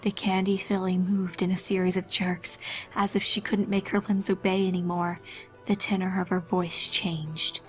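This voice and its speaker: A woman's voice: on the low side, level tone, normal speed, soft background music, low-quality recording. A woman narrating a scripted horror fan fiction story.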